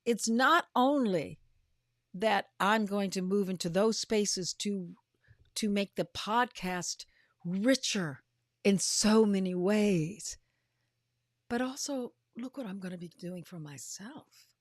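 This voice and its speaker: A woman in her fifties or older giving a motivational talk as a host, with emphatic, drawn-out delivery that often drops into breathy, hushed phrases. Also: mid-range voice, no echo, clean studio sound. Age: 50s or older. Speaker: podcast host and coach. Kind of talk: motivational livestream talk to followers.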